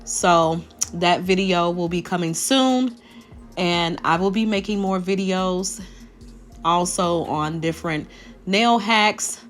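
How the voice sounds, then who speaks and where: Slow, raspy-voiced woman. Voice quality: naturally deep voice. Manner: chatty, rambling, addresses viewers directly. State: raspy after a sinus infection. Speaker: nail tutorial creator. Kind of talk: casual voice-over tutorial. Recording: faint background music.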